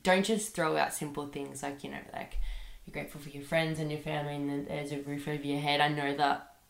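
A woman's voice: sits low, little pitch movement, fairly quick, vocal fry. Sick young woman podcasting. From Australia. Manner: chatty, rambling, lots of fillers like "like" and "um". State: sick with a bad cold. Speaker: podcast host. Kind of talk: casual solo podcast monologue. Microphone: computer microphone. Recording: echoey room.

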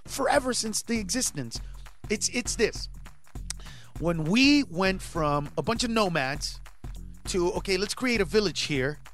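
Animated comedian man with music under. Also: sits high, unhurried. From Honduras. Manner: animated, rising to shouts when acting out bits. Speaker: stand-up comedian, guest. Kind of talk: casual interview chat.

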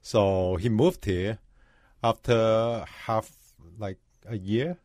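A man tells how he came to run restaurants, relaxed and chatty in a radio interview. His voice sits on the low side. The sound is close and dry. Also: very slow, dry recording. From Hong Kong. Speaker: chef and restaurant owner.

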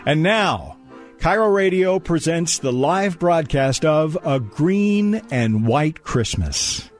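A man's voice: fairly high pitch, lively intonation, slow pace, soft background music. A man announcing a radio drama in a formal, old-time broadcaster style, reading a scripted introduction.

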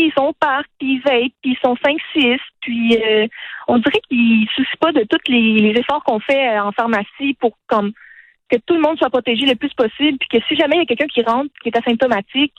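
Teenage girl, Quebec French accent, earnest radio guest, slightly high voice, dull muffled sound. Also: no echo. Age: teens. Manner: earnest, fast-talking, frequent "là" tags. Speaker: interview guest. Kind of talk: casual radio interview.

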